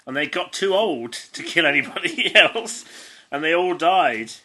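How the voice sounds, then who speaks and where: Bright-voiced, amused Englishman. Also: a little high, animated, a little slow, a little hiss or hum. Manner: giggly, often stumbles and breaks off to comment. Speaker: podcast co-host. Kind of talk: reading a child's handwritten stories aloud with amused asides.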